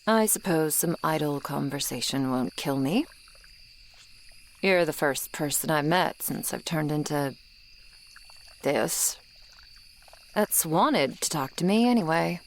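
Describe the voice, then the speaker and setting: Woman voicing a low, creaky, grudging swamp monster girl. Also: expressive, slight background noise, exaggerated voice. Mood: reluctant, softening. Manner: grudging, guarded, halting, close and intimate delivery. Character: gruff tsundere female swamp monster, once human, lonely. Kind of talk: ASMR roleplay, acted monologue to the listener. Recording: swamp ambience of crickets and frogs. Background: insects and frogs, swamp night ambience.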